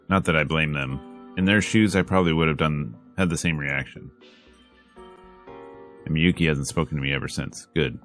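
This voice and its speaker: A man's voice: rumbling low, a bit bright. A man reading a visual novel aloud as a streamer, dramatic in delivery with wry, joking asides, over game music. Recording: music behind the voice.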